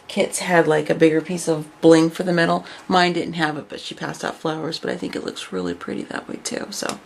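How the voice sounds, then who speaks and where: A woman casually showing her craft projects and chatting about them, her voice raspy from overuse and her talk full of fillers. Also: lowish voice, slight background noise. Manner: chatty, filler-heavy, enthusiastic. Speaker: craft vlogger. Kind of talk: casual show-and-tell.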